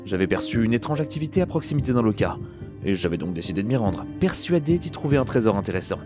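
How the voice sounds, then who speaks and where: An actor playing a cocky gentleman adventurer, suave and sardonic, in a French audio drama. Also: fairly low pitch, sing-song, fast talker. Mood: storytelling. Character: cocky, impatient gentleman treasure-hunting adventurer. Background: music.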